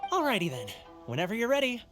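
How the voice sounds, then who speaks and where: A woman's voice: on the high side, very animated, fast, fairly bright tone, faint music behind. A woman playing a jovial, deferential festival stall keeper in a video game, effusive and welcoming. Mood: obliging.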